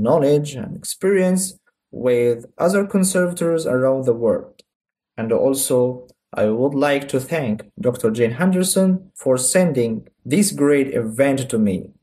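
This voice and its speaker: Man, from Egypt, Arabic first language, formal careful phrasing, smartphone recording, warm tone. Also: medium pitch. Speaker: museum conservator. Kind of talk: recorded voice message.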